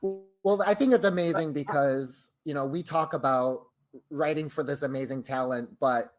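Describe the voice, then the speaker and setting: A man's voice: on the high side, moderate pace, faint music behind. Upbeat man in a casual discussion. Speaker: audio drama writer. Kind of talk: casual group chat.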